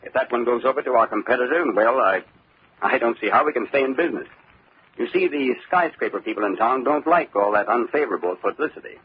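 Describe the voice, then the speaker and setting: An actor playing a worried, glum middle-aged company boss in an old-time radio drama, speaking in an anxious, resigned way. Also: male voice, mid-range voice, lively intonation, fast, a little hiss or hum, lightly acted. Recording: tinny old radio recording, phone-like band.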